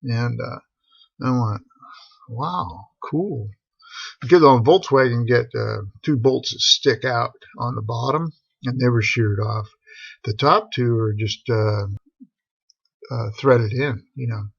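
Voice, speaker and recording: A middle-aged man with a gravelly, husky voice telling a casual, rambling personal story in laid-back, slangy talk. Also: mid-range voice, slow pace, pauses often, no echo. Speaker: storyteller on a live stream. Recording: dull, boxy lo-fi live stream audio.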